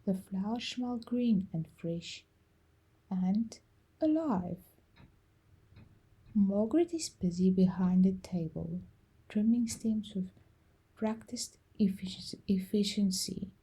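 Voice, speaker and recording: A woman storyteller narrating a bedtime meditation story in a soft, gentle, calming and unhurried way, with pauses. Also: medium pitch, husky, low-quality recording. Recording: music behind the voice.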